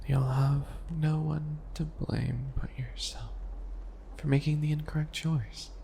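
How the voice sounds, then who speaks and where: A man playing a calculating, obsessive potion-maker in an ASMR roleplay, with a deliberate, mocking, theatrical delivery. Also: mid-range voice, creaky, dark tone, slight background noise.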